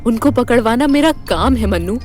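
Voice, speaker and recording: A woman's voice: on the high side, animated, moderate pace, a little mellow, soft background music, natural voice. A woman acting a resolute, dutiful spy in love, in a romantic audio drama. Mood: firm, sad.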